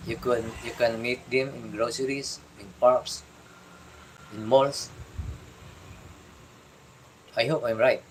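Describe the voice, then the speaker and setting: A middle-aged man from the Philippines with a Filipino accent, chatting on a livestream and giving fatherly advice in a halting way, repeating and restarting his words. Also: mid-range voice, steady tone, a little hiss or hum, rough recording. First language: Tagalog. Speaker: vlogger. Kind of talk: casual livestream chat.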